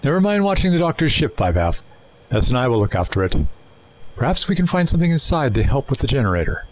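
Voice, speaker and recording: A man's voice: mid-range voice, big pitch swings, quick pace, lightly acted. An actor playing a sly, talkative male alien time traveller in an audio drama, with a wry, mocking, chatty manner. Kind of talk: full-cast audio drama acting.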